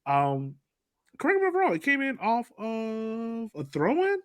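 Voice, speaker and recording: A man's voice: very high voice, huge pitch swings, a little slow, a bit bright, smooth, dry recording. A man hosting a casual post-game soccer discussion, summing up and putting questions to the others. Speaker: podcast host steering discussion.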